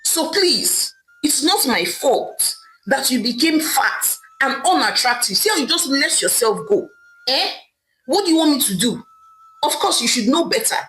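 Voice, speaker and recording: A woman's voice: sits high, sing-song, unhurried, pushed and bright, pitched above the performer's own voice, full character voice, low-quality recording. A woman voicing an angry husband who mocks and scolds his wife. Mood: angry scolding. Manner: scolding, mocking. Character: scornful husband, angry and dismissive.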